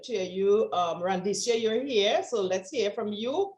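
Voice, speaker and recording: A woman's voice: mid-range voice, lively intonation, unhurried, darkish tone, echoey room. A woman with a Trinidadian accent, a communication trainer giving encouraging, instructive coaching in an online workshop over a video call. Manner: encouraging, instructive, stretches words.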